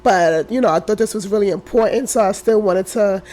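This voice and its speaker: A woman in her thirties, a podcast guest who is hoarse from a cold. She shares her story slowly, with many fillers. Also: mid-range voice, warm tone. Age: 30s. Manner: slow and unhurried, with frequent fillers. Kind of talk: casual interview, telling her story.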